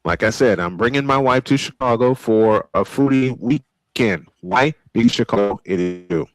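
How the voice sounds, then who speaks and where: Man, baseball announcer, medium pitch, old-school play-by-play, casual asides, very dry recording. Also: moderate pace, no room sound, rough recording. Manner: old-school sportscaster delivery.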